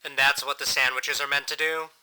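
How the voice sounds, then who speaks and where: An actor playing a sardonic, defiant, battered man in an audio drama, with blunt deadpan delivery. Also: mid-range voice, brisk, clean tone, rough recording. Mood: challenging, blunt.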